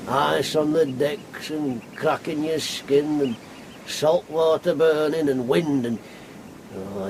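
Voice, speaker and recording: Slow-talking ancient Yorkshire sailor. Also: male voice, medium pitch, noisy background. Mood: reminiscing. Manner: folksy, rambling, muttering asides. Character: ancient garrulous old sailor, blunt and cynical. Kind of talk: period radio drama character acting.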